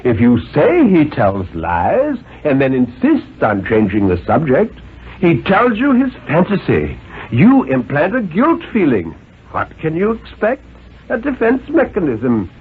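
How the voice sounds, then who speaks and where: Condescending radio father, acted by a man, high-pitched and emphatic, tinny old recording. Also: huge pitch swings, measured pace, a little hiss or hum. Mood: patronizing. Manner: pompous, insistent, jolly-patronizing, emphatic. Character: overbearing, condescending father lecturing his small son. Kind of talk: 1950s radio dramatic reading.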